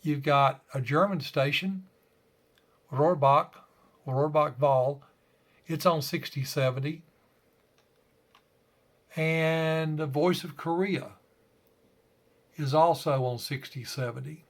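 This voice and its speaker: A man's voice: on the high side, slow, long pauses. A man hosting an informal hobby tutorial, explaining step by step in a conversational way. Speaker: ham radio tutorial host.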